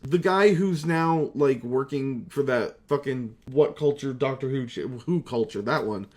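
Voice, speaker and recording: A young man talks in a casual, rambling retrospective vlog. He is excitable and self-deprecating, swearing freely, with an ordinary mid-range voice. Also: mid-range voice, a little slow, soft background music. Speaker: video creator, amateur voice actor.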